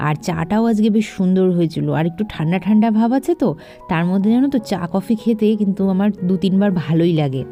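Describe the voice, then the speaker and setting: A woman's voice: mid-range voice, fast talker, dark tone, faint music behind. A young woman with a West Bengali accent narrates a casual daily vlog, talking to viewers in a chatty, familiar way and mixing in English words. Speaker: vlogger.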